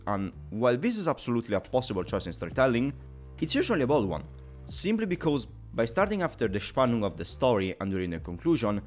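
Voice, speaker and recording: A man narrating a scripted video game review, explaining at length and speaking directly to the viewer. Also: medium pitch.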